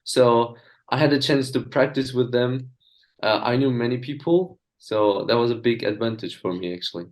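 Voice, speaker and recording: A young man from Turkey, a native speaker of Azerbaijani and Turkish, talking as an interview guest with hesitant pauses and fillers. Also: medium pitch. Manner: hesitant, many fillers and restarts. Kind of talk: casual video-call interview.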